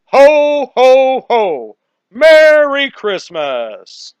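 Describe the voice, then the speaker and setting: A man voicing a jolly, booming old Santa Claus with exclamatory, theatrical delivery in a comic radio play. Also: huge pitch swings, extremely slow, smooth, dry recording, dull audio, some chatter behind, full character voice.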